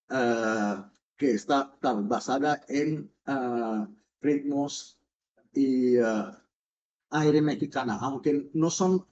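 Indonesian man, slow and halting. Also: mid-range voice, darkish tone. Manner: halting, many filler sounds, gestures while speaking. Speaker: orchestra founder and artistic director. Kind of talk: addressing viewers in a recorded video message. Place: home music room with piano. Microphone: webcam or laptop mic at desk distance. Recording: dull lo-fi webcam audio.

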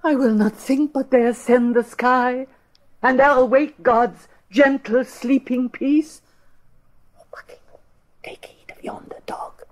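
A woman's voice: high-pitched, steady tone, measured pace. An actress playing a bitter old deposed queen, declaiming ominous prophecies and curses in Shakespearean drama. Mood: ominous warning. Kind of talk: theatrical Shakespearean stage acting.

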